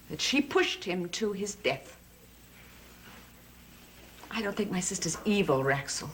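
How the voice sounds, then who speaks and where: An actress playing a fearful, earnest sister pleading urgently in a gothic soap opera. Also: female voice, medium pitch, a bit bright, slight background noise, poor audio.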